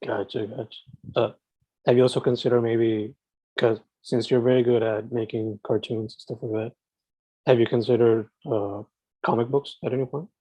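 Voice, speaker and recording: A man's voice: medium pitch, steady tone, moderate pace, warm tone, dry recording, dull audio. A man hosting a podcast, asking interview questions with short affirmations and hesitant uhs. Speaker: podcast host.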